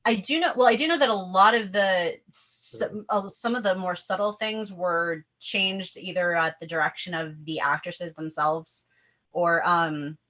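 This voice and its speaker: Bright-voiced woman hosting a film chat. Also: lowish voice, measured pace, muffled recording. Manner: animated, opinionated, rambling with asides. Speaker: host leading a table-read discussion. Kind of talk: casual film discussion.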